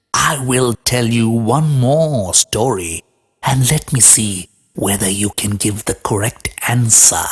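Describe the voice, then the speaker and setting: A man voicing a cunning, threatening ghost who tells riddles in a children's cartoon, in a theatrical, drawn-out manner. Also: medium pitch. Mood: sly, flattering. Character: cunning, taunting, threatening ghost Betal telling riddle stories.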